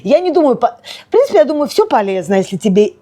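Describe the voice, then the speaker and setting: A woman co-hosting a casual podcast and teaching, chatty and quick to agree with repeated yeses. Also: a little high, sing-song, dark tone. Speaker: podcast co-host and Russian teacher.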